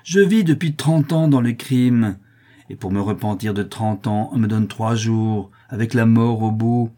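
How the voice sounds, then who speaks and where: A man's voice: lowish voice, a little slow, dark tone. A man voicing a fierce, sneering, vengeful criminal mother awaiting execution, full of cold fury and scorn, in a dramatic story reading. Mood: defiant hatred.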